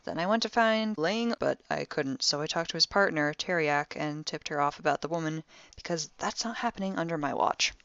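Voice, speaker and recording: A woman's voice: deep voice, brisk, a bit bright, smooth, no room sound, muffled recording. A woman playing a wry, impulsive young amateur detective, recording a spoken log in an audio drama with sardonic, theatrically dry conversational delivery. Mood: self-important, dry.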